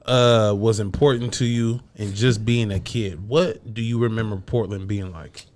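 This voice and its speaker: A man hosting a casual podcast, asking relaxed follow-up questions between short acknowledgments. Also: on the low side, moderate pace. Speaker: podcast host. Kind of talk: casual interview chat.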